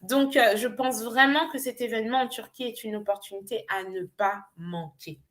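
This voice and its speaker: Earnest Nigerian-born woman coach, higher voice. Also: normal speed, a bit bright. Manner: earnest, persuasive, with frequent hesitations. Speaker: business coach. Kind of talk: promotional pitch on a live show.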